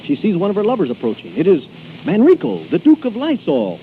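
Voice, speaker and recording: Animated, high-voiced man, vintage radio host. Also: fairly quick, vocal fry. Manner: theatrical. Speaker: radio show host and announcer. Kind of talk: 1930s radio announcing and comic banter. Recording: tinny 1930s radio broadcast, loud music.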